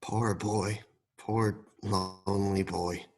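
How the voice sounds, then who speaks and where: A man playing an old miser in a radio play, husky and hesitant, with a dramatic delivery. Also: lowish voice, little pitch movement, drawn-out words, darkish tone, soft background music, full character voice. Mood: wistful. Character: grumpy, fearful old miser softening into wistfulness.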